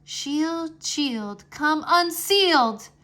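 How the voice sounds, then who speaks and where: A woman's voice: high-pitched, animated, drawn-out words, pushed and bright, pitched above the performer's own voice, exaggerated voice. A woman voicing an anxious but resolved boy wizard in a children's fantasy storybook, speaking with effort. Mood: straining, chanting. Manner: effortful, repetitive spell chanting. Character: anxious boy wizard standing up to his father.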